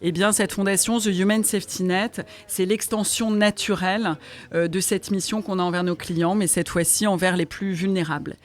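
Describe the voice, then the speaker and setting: A woman's voice: medium pitch, fairly even intonation, normal speed. A woman answering as an interview guest, in an articulate, explanatory way. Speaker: interview guest, foundation president.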